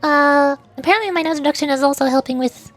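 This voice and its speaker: A woman's voice: high-pitched, fast talker, a bit bright. A young adult woman chatting casually and playfully as a streamer at her home desk mic, with light giggles. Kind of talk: casual live-stream chat. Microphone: desk microphone. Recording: home mic with faint music.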